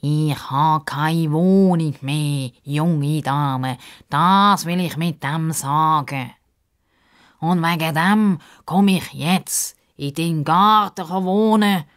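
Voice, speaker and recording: A woman voicing a pompous, grumbly male crocodile who talks in a ponderous, drawn-out way in a children's story. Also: sits low. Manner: ponderous, drawn-out, self-important speech.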